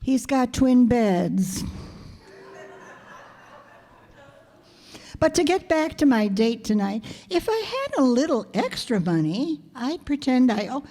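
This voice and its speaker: The sound rings with the long reverb of a hall. A woman acts out a schoolteacher from an old-time radio comedy, wry and quick with a wisecrack. Her voice sits a bit high and swings widely, while the delivery stays dry and sardonic. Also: big pitch swings, mellow, heavily acted. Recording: reverberant hall stage recording.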